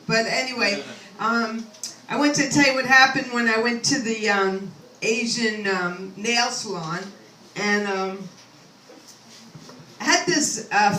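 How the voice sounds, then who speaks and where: An older woman with a high, bright voice rambles through a stand-up set, making self-deprecating asides to the crowd. The recording sounds roomy and echoey, with her voice set back from the mic and a faint hum of ambience behind her. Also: far from the mic. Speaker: stand-up comedian. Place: comedy club stage.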